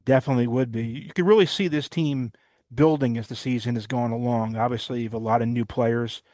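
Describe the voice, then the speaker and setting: A man hosting a college sports podcast, recapping games in a rambling way with many pauses. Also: mid-range voice, steady tone, darkish tone, no echo, clean studio sound. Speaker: podcast host. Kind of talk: casual sports recap chat.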